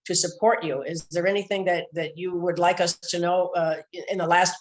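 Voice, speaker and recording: A woman interviewing a guest for community television, friendly and quick to laugh. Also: lowish voice, steady tone.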